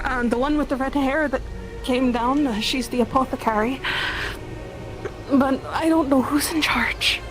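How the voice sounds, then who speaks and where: A woman playing a frazzled, faint village baker in an online roleplay, hesitant and apologetic, with halting speech. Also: high voice, poor audio. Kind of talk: improvised roleplay acting in a virtual world. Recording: music behind.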